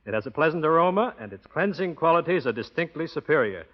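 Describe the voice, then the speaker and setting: A man's voice: a little high, expressive, fast talker. An American man giving a scripted promotional talk as a guest expert on 1930s radio. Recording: muffled old radio broadcast.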